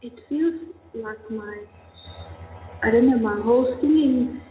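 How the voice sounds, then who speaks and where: A young woman speaks earnestly and searches for her words. Her voice sits a little high. The sound is thin and phone-like, ringing with long reverb and a faint hum. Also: unhurried. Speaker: voice student and teacher. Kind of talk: candid workshop interview. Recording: tinny, echoey hall with faint hum.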